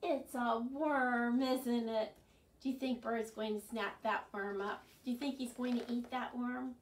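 Older woman, higher-pitched voice, children's storytime, expressive and questioning, distant mic in a room. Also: measured pace, a little hiss or hum. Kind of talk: read-aloud storytime for young children. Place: small carpeted living room, indoors. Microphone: camera a few meters away. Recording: roomy, distant camera microphone.

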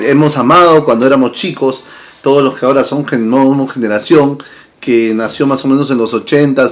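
A man, chatting casually to camera as a vlog host in a room full of toy shelves, lively and full of tag questions. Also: mid-range voice. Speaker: channel host. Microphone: fixed camera at desk distance.

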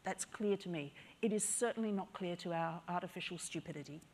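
A woman's voice: fairly low pitch, a little fast, darkish tone, a little hiss or hum. A woman speaking as a panelist on a stage through a lapel mic, assured and pointed. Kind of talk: panel discussion. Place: stage in front of a backdrop.

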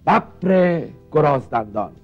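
Eccentric old scholar, agitated, swoopy voice, muffled old tv drama audio. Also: male voice, fairly high pitch, lively intonation. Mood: emphatic. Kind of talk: televised stage drama acting. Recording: dull old TV audio, faint music.

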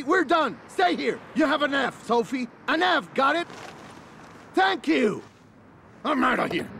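An actor playing an excitable driving instructor who coaxes and then yells at his student, with music underneath. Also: high voice, a little hiss or hum, full character voice. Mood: furious. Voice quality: shouting, exasperated. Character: excitable male driving instructor, quick-tempered.

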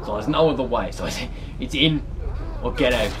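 Animated British man, sweary gamer. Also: mid-range voice, moderate pace. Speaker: let's player. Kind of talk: casual reaction commentary over a horror game. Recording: clear background noise and game ambience.

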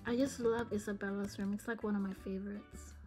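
A woman's voice: medium pitch, flat delivery, fairly quick, dark tone, faint music behind. A woman chatting casually as co-host of a game build tour. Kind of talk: casual chat.

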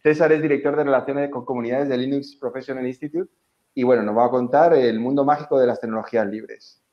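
Brisk man hosting over lo-fi call. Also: mid-range voice, fairly even intonation. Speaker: event host. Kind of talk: introducing a speaker. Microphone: headset on video call. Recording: dull lo-fi video call audio.

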